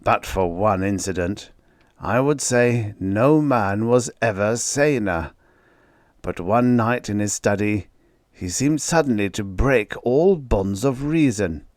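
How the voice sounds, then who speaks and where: A man voicing a grave, hesitant gentleman who recounts strange events earnestly. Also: on the low side, slow, no echo, clean studio sound. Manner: earnest, reluctant storytelling.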